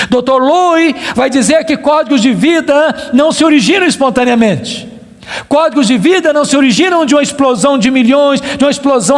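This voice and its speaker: Male preacher, very high voice, Brazilian accent, emphatic oratorical sermon, declamatory repetition, long hall reverb. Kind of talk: rhetorical sermon delivered to a congregation.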